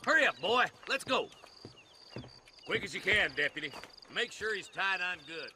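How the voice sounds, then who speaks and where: A bullying American frontier lawman in a western game. His voice is gravelly and lively in pitch, rough in manner, over clear background noise. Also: moderate pace, full character voice. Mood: bossy, gruff. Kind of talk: video game cutscene acting. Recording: clearly noisy outdoor ambience.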